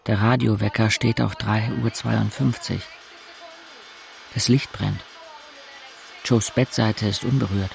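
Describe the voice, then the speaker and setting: Brief: young first-person narrator, heavy vocal fry, lowish pitch, introspective and matter-of-fact, scripted audio drama. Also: male voice, exaggerated voice. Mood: brooding.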